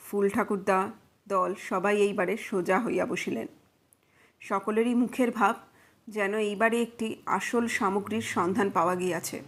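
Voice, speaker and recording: A woman reading a literary detective story aloud as scripted audiobook narration. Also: medium pitch.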